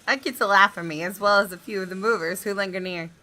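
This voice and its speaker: A woman reading a visual novel aloud as expressive first-person narration. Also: mid-range voice, quick pace. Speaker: gaming video host reading aloud.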